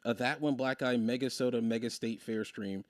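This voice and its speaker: A man's voice: mid-range voice, normal speed, no room sound. Rambling young man, dry close mic. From Maryland. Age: 20s. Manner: rambling, thinks out loud, laughs often. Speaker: streamer. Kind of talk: casual chat on a game stream.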